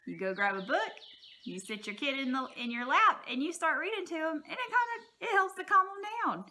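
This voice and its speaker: A woman's voice: fairly high pitch, sharp tone. A woman speaking as a teacher, giving informal, encouraging and reassuring advice to parents in a plain-spoken, folksy way.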